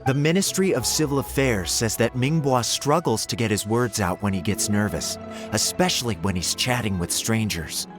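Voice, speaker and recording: A man's voice: fairly low pitch, normal speed, over music, close to an everyday voice. A man playing an earnest, competitive, easily irked young assistant in a video game, speaking expressively. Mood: earnest reporting. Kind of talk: video game character dialogue.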